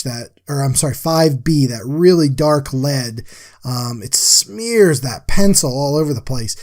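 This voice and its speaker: A man's voice: fairly high pitch, darkish tone. A man giving a casual, chatty voice-over as an artist recapping a drawing, talking informally to viewers. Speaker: artist and livestream host.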